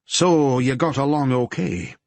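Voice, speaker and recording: A man playing a courteous male police detective, who questions in a measured, polite and gently firm way. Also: medium pitch, gravelly, no echo, voice pushed up. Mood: calm, sympathetic. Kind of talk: audiobook character voice.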